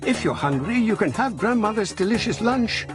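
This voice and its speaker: A man's voice: sits high, lively intonation, moderate pace, over music, pitched above the performer's own voice. A man voicing a plucky, earnest little girl in a children's storybook. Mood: pleading, defiant.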